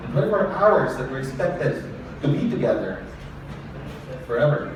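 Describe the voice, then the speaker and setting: A man's voice: mid-range voice, sing-song, normal speed. A man performing spoken word poetry live, wry and anxious, with swearing and repeated refrains. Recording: roomy echo, far from mic, ambient noise.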